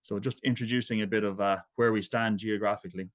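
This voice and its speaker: Irish man, thirties, low-pitched voice, online guest lecture, laid-back conversational delivery, video call audio. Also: quick pace. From Ireland. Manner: relaxed and conversational, with frequent asides. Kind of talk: online academic talk.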